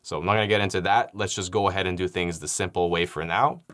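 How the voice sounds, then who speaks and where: A young man explaining audio editing software in a relaxed tutorial, with frequent fillers, recorded on a studio microphone in a dark studio. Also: deep voice, fast. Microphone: studio microphone on stand.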